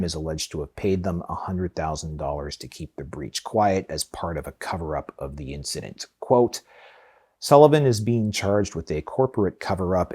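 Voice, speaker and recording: A man's voice: a bass voice, a little mellow, husky. A man hosting a podcast, reading tech news from a script with casual, opinionated asides.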